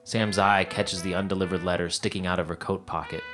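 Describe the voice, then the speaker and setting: An actor as an eager, wisecracking young screenwriter in a 1950s radio-style drama. His voice sits fairly low, with music playing behind him. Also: level tone, a little fast, lightly acted. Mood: dramatic, absorbed. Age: 20s. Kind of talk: period audio drama acting.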